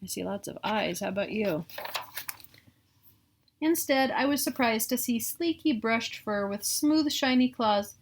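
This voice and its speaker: Middle-aged American female storybook reader, somewhat high pitch, casual asides. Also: animated, a little slow. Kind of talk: read-aloud picture book narration with casual asides. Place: small room at home.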